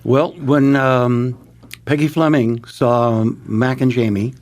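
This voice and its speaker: A man's voice: medium pitch, fairly even intonation. Wisecracking man in his sixties. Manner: joking, quick one-liners. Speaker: guest, comedian. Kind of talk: casual talk-show banter.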